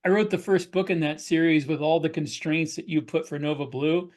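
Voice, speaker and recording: A man's voice: a little high, fairly even intonation, fairly quick, darkish tone. A man chatting as an author on a panel, explaining things casually in short pieces. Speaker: science fiction author on a panel podcast.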